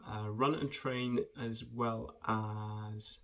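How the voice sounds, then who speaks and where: A man, talking in a friendly, conversational way with hesitant pauses as he introduces himself and his work for students. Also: on the low side, steady tone, fairly bright tone, slight background noise. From rural southwest Wales. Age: 20s. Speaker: junior footwear developer. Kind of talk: informal self-introduction video for students.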